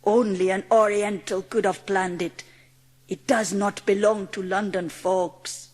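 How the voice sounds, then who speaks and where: A woman voicing a gentle, watchful Indian manservant with formal, reverent phrasing in a dramatised audiobook. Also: medium pitch, fairly even intonation, a little slow, long reverb tail, natural voice. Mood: quiet, assured.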